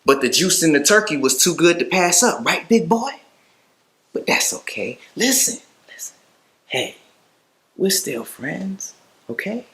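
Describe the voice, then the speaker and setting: A male actor performs a creepy killer toying with his prisoner in a dramatic monologue. His sharp, lowish voice is mock-friendly and taunting, liable to swoop erratically. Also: lively intonation, normal speed, pauses often.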